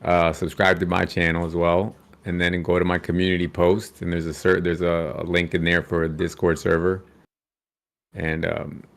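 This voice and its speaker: A man's voice: low voice, monotone, normal speed, gravelly. A man guesting on a casual podcast, joking around and plugging his own channel and crypto tips. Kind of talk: casual livestream podcast chat.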